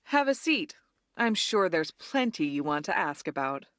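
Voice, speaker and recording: A woman's voice: lowish voice, sing-song, a little slow, fairly bright tone, no echo, clean studio sound. A woman playing a playful, teasing young bar worker in an amateur game fandub. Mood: relaxed, playful. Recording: music behind the voice.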